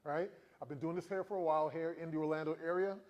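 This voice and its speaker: A man in his forties from the Virgin Islands, giving a motivational talk to students with animated storytelling and questions for the crowd. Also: on the high side, fast talker, dull audio. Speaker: motivational speaker.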